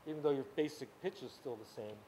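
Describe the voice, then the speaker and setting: A man's voice: mid-range voice, quick pace, warm tone, slight background noise, low-quality recording. A man teaching a casual workshop class on sound, explaining at length with many restarts and fillers. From Ukrainian-speaking background. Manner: explanatory, rambling, many restarts and "you know"s. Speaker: music teacher. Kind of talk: informal workshop lecture. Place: workshop room at a camp.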